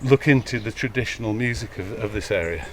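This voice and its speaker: A man's voice: lowish voice, a little fast. A man hosting a walking interview, asking short, curious questions while a little out of breath climbing a hill outdoors. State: a bit out of breath. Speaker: podcast host. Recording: slightly distant outdoor mic, faint noise.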